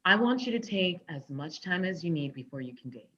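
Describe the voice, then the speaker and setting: A woman's voice: on the low side, big pitch swings, a little fast, fairly bright tone. A woman playing a candid, placating character in a comedic table read, speaking conversationally, on a slightly dull recording. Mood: friendly, confiding.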